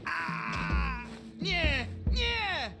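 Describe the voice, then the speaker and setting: Scared polish immigrant debtor, very high voice, slow and stammering, western game with music behind. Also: male voice, very animated, creaky, full character voice. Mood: panicked, pleading. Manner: stammering, halting, pleading. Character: frightened, pleading male Silesian immigrant debtor with little English. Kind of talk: video game acting.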